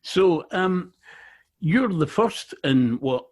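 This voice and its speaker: Husky Glaswegian man interviewing. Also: high voice, animated, very slow. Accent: Glaswegian Scottish. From Glasgow, Scotland. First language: Scots. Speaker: podcast interviewer. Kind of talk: casual interview chat.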